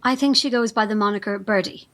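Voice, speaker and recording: A woman's voice: medium pitch, fast, fairly bright tone, natural voice. A woman playing a cold, officious gatekeeper in an audio drama, formal, curt and dismissive in her delivery. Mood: coldly dismissive. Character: icy, officious female gatekeeper of a secretive compound. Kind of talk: naturalistic audio drama acting.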